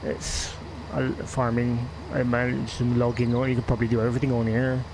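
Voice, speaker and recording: A man's voice: mid-range voice, monotone, brisk, noisy background. A man with a West Country English accent chatting casually while streaming a game, rambling and laughing often. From England. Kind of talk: casual live-stream chat.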